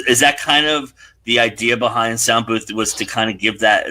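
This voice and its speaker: A man's voice: on the low side, bright, forceful tone, rough recording. A man hosting a casual interview, appreciative and wordy as he circles toward his questions. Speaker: host, interviewer.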